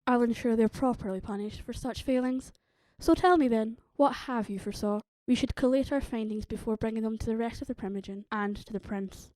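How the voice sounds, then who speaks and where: Female actor as stern vampire matriarch, audio drama, guarded, formal, imperious, soft dark timbre, very dry sound. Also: fairly high pitch, fairly quick, no echo, close to an everyday voice, poor audio. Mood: stern, suspicious.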